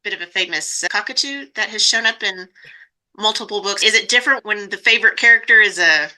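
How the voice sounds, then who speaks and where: A woman's voice: medium pitch, brisk, bright, forceful tone, low-quality recording. A woman hosting a podcast, talking conversationally as she interviews a guest. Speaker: podcast host.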